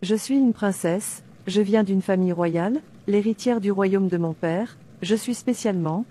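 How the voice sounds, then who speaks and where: A woman's voice: mid-range voice, normal speed, warm tone, slight background noise, close to an everyday voice. A woman playing a devout, defiant princess in a dubbed cartoon, declaiming earnestly like a preacher. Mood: proud. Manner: declamatory, earnest, citing scripture. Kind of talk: sermon-like dubbed cartoon dialogue.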